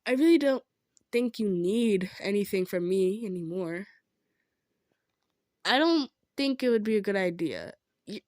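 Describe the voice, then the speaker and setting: A kind centaur traveler played by a woman in a roleplay audio drama. She speaks at a middle pitch, earnest and gentle, stammering when modest. The sound is dry, with no room to it. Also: no room sound, close to an everyday voice. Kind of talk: improvised roleplay audio drama, addressed to listener.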